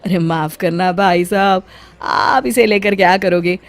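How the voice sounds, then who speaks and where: A woman voicing a loud, brash male street peddler, blunt and calling out his wares in a story. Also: lowish voice, steady tone. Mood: blunt, mocking. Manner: drawn-out hawking calls, blunt.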